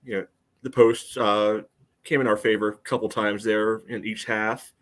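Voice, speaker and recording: A middle-aged man with a fairly low voice talks casually on a soccer podcast. He meanders through his thoughts, padding them with plenty of "you know.". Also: level tone, normal speed, a bit bright. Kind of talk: casual sports chat.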